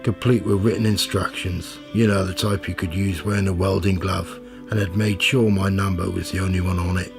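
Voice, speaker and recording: A man's voice: sits low, fairly even intonation, brisk, gravelly, music in the background. A man acting a wry first-person narrator in a radio play. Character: wry male narrator recounting his own story.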